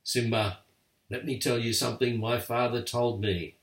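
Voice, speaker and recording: An older man voicing a stern, wise lion king and father in a firm, steady voice. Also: mid-range voice, moderate pace, lightly acted. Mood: tender. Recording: echoey room, far from mic.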